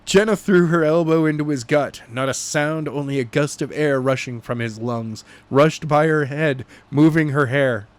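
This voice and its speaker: A man narrating a novel chapter in an animated amateur audiobook style, stumbling and correcting himself as he reads. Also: on the high side, no room sound. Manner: halting, stumbling read with self-corrections.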